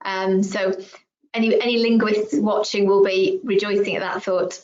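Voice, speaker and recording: Measured headmistress hosting a webinar. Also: female voice, mid-range voice, little pitch movement, darkish tone. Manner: measured, encouraging, lots of ums and agreeing mm-hmms. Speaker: school head hosting webinar. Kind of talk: hosting a video call webinar. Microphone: video call. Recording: echoey, muffled video call audio.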